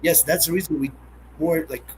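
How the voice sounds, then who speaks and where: A man in a casual talk who speaks quickly, breaks off mid-word and hunts for the right phrase. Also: a little high, steady tone, a little hiss or hum, rough recording. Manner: fast, gropes for words, trails off. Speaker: conversation partner. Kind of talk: casual conversation about religion.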